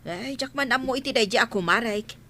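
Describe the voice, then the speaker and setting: Reluctant store owner, played by a woman, radio comedy: grudging, argumentative, sharp higher voice, Ilocano accent. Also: fairly quick. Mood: irritated. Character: reluctant, grumbling female village store owner.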